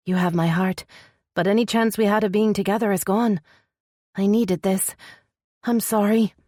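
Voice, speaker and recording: A soft, shaky young princess played by a woman narrator, raised well above the narrating voice. She pleads with sorrow and desperation but holds firm, in the manner of a dramatic romance audiobook, with a dry, close sound. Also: normal speed, dry recording, close to an everyday voice. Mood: tearful, sorrowful. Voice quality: soft, shaky, higher-pitched voice.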